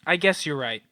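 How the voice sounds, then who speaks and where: A man's voice: a little high, sharp tone, no echo, studio quality, full character voice. An actor playing a troubled young man, guarded, wry and sad, in an audio play.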